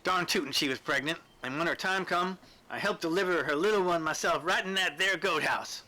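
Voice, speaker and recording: Guy doing a folksy country veteran for a storybook, blunt n shrewd, sharp bright voice. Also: fairly high pitch, brisk, pitched above the performer's own voice. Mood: boastful, brisk. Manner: folksy, dialect-laden, blunt. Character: plain-spoken, shrewd rustic male war veteran, kindly and unapologetic. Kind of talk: storybook character dialogue.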